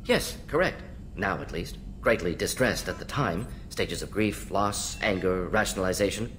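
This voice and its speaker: A restless male amphibian alien scientist in a game, with a lowish voice that jumps around in pitch. He talks in clipped, clinical fragments over a faint rumble. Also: lively intonation, a bit bright. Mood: brisk, clinical. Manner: clipped telegraphic phrases, brisk, matter-of-fact. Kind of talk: video game character acting.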